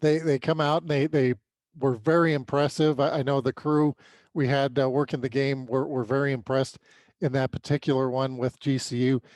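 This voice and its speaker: American man recapping sports, halting delivery. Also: medium pitch, little pitch movement, a little mellow, dry recording. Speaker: sports podcast co-host. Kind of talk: unscripted sports recap commentary.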